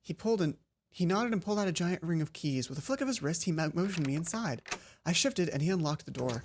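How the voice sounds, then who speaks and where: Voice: mid-range voice, expressive, quick pace, a little hiss or hum. A let's player reading visual novel narration aloud, stumbling and rereading lines, with casual asides. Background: soft game music.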